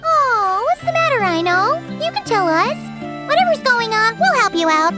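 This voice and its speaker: Tiny companion girl, extremely high squeaky voice, very animated pitch, chatty, tactless, game dialogue over music. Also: moderate pace. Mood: concerned. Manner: squeaky, exclamatory, expressive chatter. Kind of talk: video game character voice acting.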